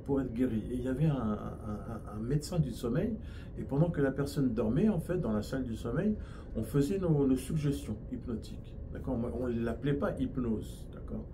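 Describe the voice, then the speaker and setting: A man's voice: medium pitch, animated, fairly quick, background noise. A man from France with a French accent, a hypnosis and NLP trainer explaining his field in an interview, with frequent restarts.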